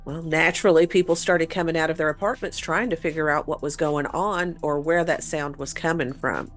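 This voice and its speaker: A woman's voice: sits low, fairly even intonation, brisk, soft background music. A woman co-hosting a paranormal show, telling a story in a conversational way.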